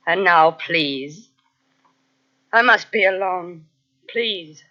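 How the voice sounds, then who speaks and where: An actress playing a fanatical, coldly devoted nurse, quietly unhinged, in dramatic old-time radio mystery style. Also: female voice, on the low side, animated, extremely slow, very noisy. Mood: strained, dismissive. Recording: muffled old radio cassette transfer.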